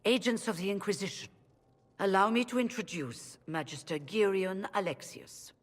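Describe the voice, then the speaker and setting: An actress playing a dignified, weary leader of rebel mages in a video game, speaking formally and with a troubled air. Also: female voice, medium pitch, normal speed, natural voice. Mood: subdued, strained. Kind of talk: video game cutscene acting. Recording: reverberant hall sound.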